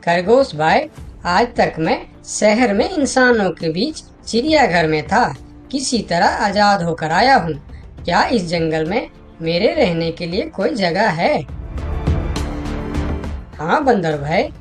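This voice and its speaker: A woman voicing a small, polite talking forest animal in a children's cartoon. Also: lowish voice. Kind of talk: Hindi children's cartoon dialogue.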